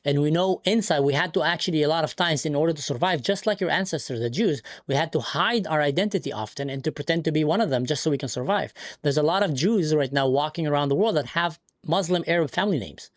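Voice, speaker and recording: A man's voice: a little high, fast, hardly any pauses, no echo, studio quality. A man who grew up in the Middle East, hosting a live stream and arguing insistently with a caller. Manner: insistent, argumentative, talks over the other speaker. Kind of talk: heated debate on a live stream.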